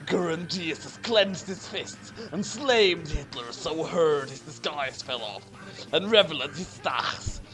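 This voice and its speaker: A man giving a halting, theatrical comedic reading of a story as co-reader. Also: fairly high pitch, animated, poor audio. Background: music.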